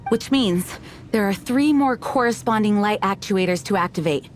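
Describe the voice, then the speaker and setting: A woman's voice: mid-range voice, normal speed, faint music behind, lightly acted. An actress playing a composed, dutiful woman knight commander in a video game, poised, earnest and resolute.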